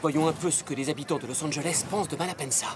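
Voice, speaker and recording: Casting: young male actor, airy cartoon dandy, high-pitched, mock-poetic, lightly theatrical. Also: racing, very noisy, natural voice. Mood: breezy. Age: young adult. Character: foppish, carefree young nobleman feigning clumsy artistry. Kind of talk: French cartoon dub acting. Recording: loud music behind the voice.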